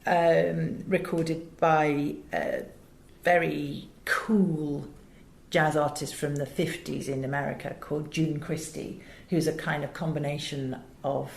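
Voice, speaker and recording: An English woman gives an interview in a fairly low voice. She is expressive and conversational, often interrupting herself mid-thought. The room around her is noticeably echoey. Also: slow, vocal fry, a little hiss or hum. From England. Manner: chatty, expressive, self-interrupting. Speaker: interview guest, voice director.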